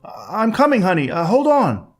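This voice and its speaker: A man's voice: high voice, huge pitch swings, normal speed, soft background music, voice pushed up, exaggerated voice. A man voicing a nervous young night clerk who stammers and hesitates.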